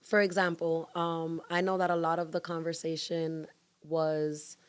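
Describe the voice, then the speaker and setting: A woman's voice: deep voice, level tone, measured pace. A woman co-hosting a podcast who asks probing questions in a direct, teasing way. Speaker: podcast co-host. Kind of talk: casual podcast conversation.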